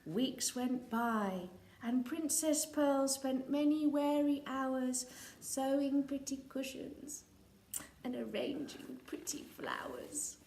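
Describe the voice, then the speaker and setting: A woman reading a children's picture book aloud to a live audience, expressive and dramatic, pointing things out to young listeners. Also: high-pitched, slow pace. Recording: roomy, echoing sound with background noise.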